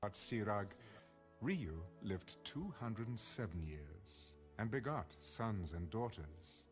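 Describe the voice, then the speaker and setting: A man narrating scripture from a script in a measured, formal way. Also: fairly low pitch, very animated. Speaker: audio Bible narrator. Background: soft background music.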